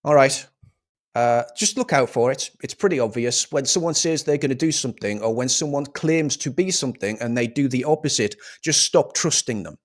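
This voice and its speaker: A man's voice: mid-range voice, moderate pace. A man giving a scornful, swearing rant of political commentary.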